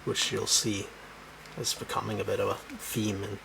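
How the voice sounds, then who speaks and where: A man with a Swedish accent, whose first language is Swedish, casually showing his bookshelves at home and talking in halting bursts with many fillers. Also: on the low side, flat delivery, gravelly, background noise. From Sweden. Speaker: book collector showing his shelves. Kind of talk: casual home video walkthrough. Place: home room.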